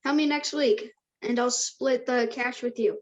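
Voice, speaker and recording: A woman's voice: fairly high pitch, fairly even intonation, a little slow, lightly acted. A woman reading the part of a generous, easygoing teenage boy in casual scripted dialogue.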